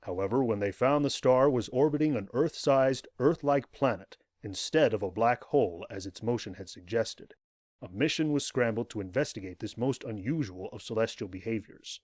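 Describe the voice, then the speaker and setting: A man narrating the scripted prologue of an audio drama in a solemn, formal, measured way. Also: mid-range voice, a little mellow, dry recording, studio quality.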